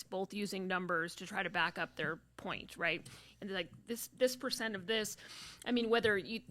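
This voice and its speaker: A woman's voice: mid-range voice, fairly bright tone. A woman hosting a podcast, chatting casually and rambling a little, with restarts and fillers. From Arizona. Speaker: podcast host. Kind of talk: casual interview chat.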